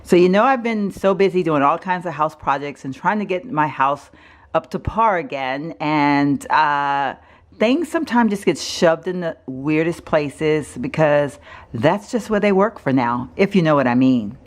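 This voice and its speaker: Vlogger, female, middle-aged, from Texas; low, raspy allergy voice, rambling chatty delivery, filmed on a camera mic in her kitchen. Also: expressive, unhurried. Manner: rambling, chatty, many fillers and self-corrections. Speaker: home organizing vlogger. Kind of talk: casual chatty home vlog. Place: home kitchen, indoors. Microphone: handheld camera mic, filming off screen.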